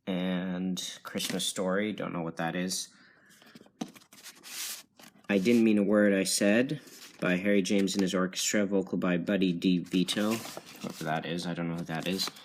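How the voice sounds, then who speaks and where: A man giving a casual, rambling show-and-tell as a collector, hesitant and often correcting himself, recorded on a handheld phone. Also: sits low. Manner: hesitant, unsure, self-correcting. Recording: noisy amateur phone video with clicks.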